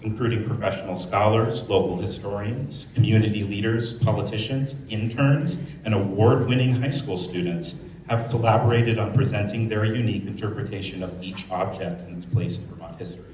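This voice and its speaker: A man with a fairly low voice delivers opening remarks at a museum. His delivery is that of a staff speaker addressing a gathering, informal to measured. The room is very echoey, the microphone sits at some distance, and there is faint noise. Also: a little mellow. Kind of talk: public speech, part off-the-cuff, part scripted. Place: indoors in a museum.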